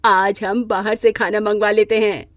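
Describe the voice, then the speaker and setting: A woman's voice: mid-range voice, flat delivery, a little slow, dry recording, clean studio sound, lightly acted. A woman voicing a kindly middle-aged mother-in-law in a moral story, with a motherly way of talking. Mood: affectionate. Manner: affectionate, motherly. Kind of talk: Hindi moral-story character acting.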